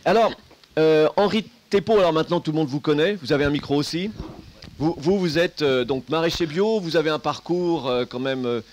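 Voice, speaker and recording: A man's voice: a little high, fairly quick, a little mellow. A man moderating a round-table discussion, introducing guests casually with joking asides and many restarts. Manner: hesitant, repeats words, joking asides. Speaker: moderator of a panel.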